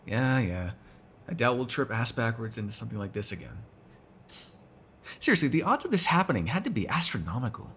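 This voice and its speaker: A man voicing a teasing, sarcastic vampire with a gravelly, husky voice in an ASMR roleplay. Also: mid-range voice, very animated, a little fast, long pauses, fairly bright tone, slight background noise. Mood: teasing, exasperated. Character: snarky, teasing male vampire captive, predatory yet fond. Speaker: voice actor in audio roleplay.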